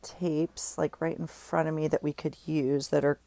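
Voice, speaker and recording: A woman's voice: low voice, little pitch movement, normal speed, warm tone, no echo. A middle-aged woman with a stuffy nose, chatting casually as she works on a craft project, thinking aloud with many ums and restarts. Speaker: craft vlogger.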